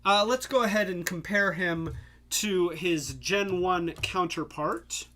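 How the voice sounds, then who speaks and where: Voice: medium pitch, slow pace, a bit bright. A live-stream host reviewing toys in a casual, rambling way, congested and losing their voice, a bit tipsy from drinks. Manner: rambling, many fillers and restarts.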